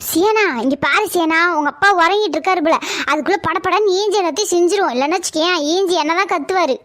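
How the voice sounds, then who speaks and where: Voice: very high voice, fast, a bit bright. A performer voicing a bossy, harried mother who nags and coaxes, in a comedy skit. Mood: bossy, nagging. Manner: nagging, coaxing, protesting. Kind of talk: family comedy skit acting.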